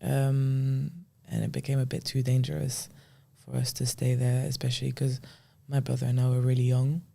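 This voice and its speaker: A woman's voice: very deep voice, completely flat delivery, moderate pace, creaky, mellow. A young woman from France, chatting casually as a guest in a podcast interview.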